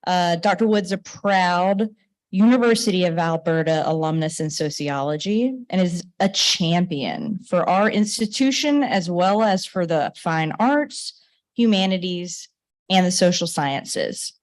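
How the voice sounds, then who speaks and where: Woman, event host, formal introduction, slightly low voice. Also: measured pace.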